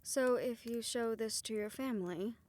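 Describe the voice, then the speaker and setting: A woman's voice: on the high side, moderate pace, darkish tone, dry recording, lightly acted. A woman playing a caring, teasing young woman in a fantasy romance audio roleplay, with a playful, affectionate, conversational delivery. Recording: music behind the voice.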